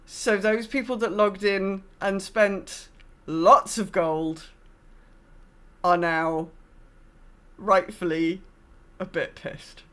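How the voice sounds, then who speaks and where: A woman's voice: medium pitch, fairly even intonation, extremely slow, long pauses, fairly bright tone. A woman co-hosting a podcast, chatting casually and discursively as she thinks aloud. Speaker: podcast co-host. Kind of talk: casual gaming podcast chat.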